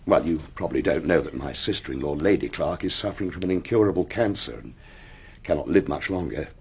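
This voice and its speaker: An actor playing an emphatic, excitable English gentleman with an upper-class accent and a husky voice in a radio drama. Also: extremely low pitch, brisk, full character voice. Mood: sombre.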